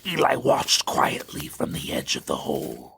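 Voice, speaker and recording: A man narrating a scripted story in a suspenseful, theatrical way, with a gravelly, breathy voice. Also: extremely low pitch, huge pitch swings, normal speed, bright, forceful tone, a little hiss or hum.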